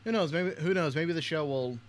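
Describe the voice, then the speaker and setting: A man with a voice a little higher than most hosts a reaction chat. He talks casually and bluntly, with plenty of swearing. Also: brisk, slight background noise. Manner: blunt, heavily profane. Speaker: host of a reaction video.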